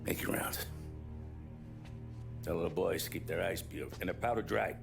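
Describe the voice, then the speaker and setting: Gravelly, volatile crime boss. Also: male voice, low-pitched. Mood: grim. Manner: sardonic, halting, then explosive. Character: volatile, ruthless male mob boss. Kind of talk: cinematic game voice acting. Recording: music behind the voice.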